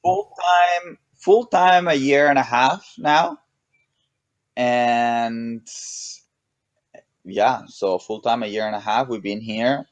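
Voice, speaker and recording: A man with a Mexican accent chatting casually as an interview guest, relaxed and rambling, with many restarts and "you know"s. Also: medium pitch, slow, pauses often, no echo, lo-fi sound. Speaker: guest, pro paddle racer.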